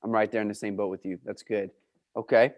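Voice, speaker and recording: A man's voice: fairly low pitch, flat delivery, brisk, highs cut off. A man hosting an interview in a casual, slangy way, with playful, theatrical reactions. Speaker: interview host, realtor.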